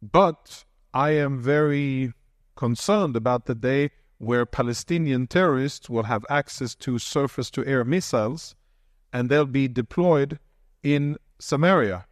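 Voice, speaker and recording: Man, panel speaker, measured and halting, dry mic. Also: medium pitch, dry recording. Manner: measured, restarts and repeats words. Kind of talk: answering audience questions.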